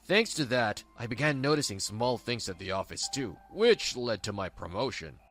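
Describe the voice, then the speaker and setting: A man's voice: mid-range voice, animated, normal speed, a bit bright, soft background music, natural voice. A man voicing an older father, humbled and supportive, in a manga dub. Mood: sheepish. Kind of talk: scripted manga dub dialogue.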